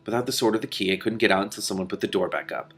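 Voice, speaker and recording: A man reading the part of a calm, wry king and father in a storybook. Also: fairly low pitch, racing, faint music behind. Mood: measured, wry.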